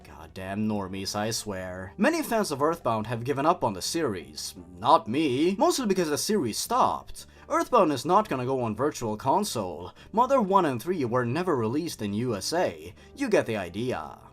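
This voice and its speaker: A man who is not a native English speaker, hosting scripted commentary and horror story readings in an expressive way, with sardonic asides. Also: medium pitch, normal speed, few pauses. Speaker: host reading and reviewing horror stories. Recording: music behind the voice. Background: background music.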